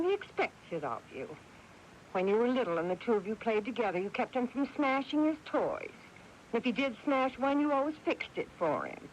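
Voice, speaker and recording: An American woman playing a doting, indulgent mother of grown sons in a radio drama, coaxing and gently insistent, on a hissy 1980s AM recording. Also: medium pitch, dull audio, natural voice. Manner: coaxing, excusing.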